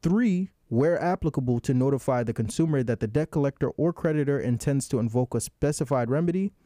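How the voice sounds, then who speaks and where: A man's voice: mid-range voice, brisk, dark tone, no echo, studio quality. A man hosting a casual chat in an easygoing way, sometimes reading legal text aloud. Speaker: podcast host.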